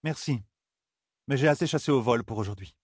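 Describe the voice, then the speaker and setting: A man plays a proud storybook queen, pitched well above his own voice. The delivery is curt, cutting and commanding, in a dry, close sound. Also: brisk, breathy edge, no room sound, natural voice. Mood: dismissive. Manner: imperious, curt. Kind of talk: audiobook character dialogue.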